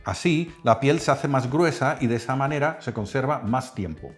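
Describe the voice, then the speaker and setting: A man from the Netherlands, hosting a cooking channel and calmly explaining a recipe step by step. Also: medium pitch, moderate pace, faint music behind. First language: Dutch. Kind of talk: cooking tutorial voice-over.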